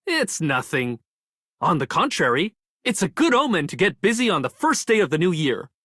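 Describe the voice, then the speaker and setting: An optimistic working woman in a game. Her voice sits fairly low but moves expressively, breezy and practical. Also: big pitch swings, normal speed, fairly bright tone, no room sound, clean studio sound. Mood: upbeat. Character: hard-working, optimistic tradeswoman hoping for prosperity. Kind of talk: scripted game character greeting.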